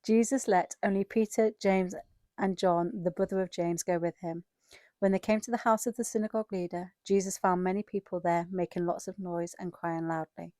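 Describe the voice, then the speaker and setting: Female scripture narrator, lowish and very mellow, scripted storytelling, dry recording. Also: steady tone, moderate pace, no echo. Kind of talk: scripted dramatized Bible narration.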